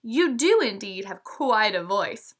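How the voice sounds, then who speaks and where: A woman voicing a sly male fox in a storybook, coaxing and flattering with theatrical, gushing pleas. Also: mid-range voice, takes it slowly. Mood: smug. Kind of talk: storybook read-aloud character voice.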